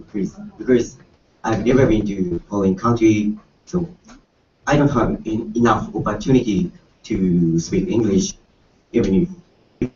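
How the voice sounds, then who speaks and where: A lowish man speaks slowly and hesitantly, stumbling and self-deprecating. He is heard from a distance in an echoey conference room, audio dull, faint hum behind. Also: darkish tone. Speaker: student panelist. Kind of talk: panel answer.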